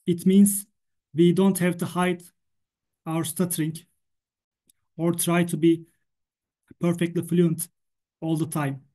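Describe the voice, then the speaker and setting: A man's voice: on the high side, flat delivery, slow pace, long pauses, warm tone. Man with a Turkish accent who stutters. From Turkey. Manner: stutters, repeating words and sounds. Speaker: stuttering association representative. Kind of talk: prepared presentation.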